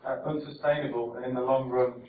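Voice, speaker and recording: A man's voice: mid-range voice, little pitch movement, fast talker, a little hiss or hum. Probing audience man in echoey hall. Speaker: audience member. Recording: echoey hall, far mic, phone-like.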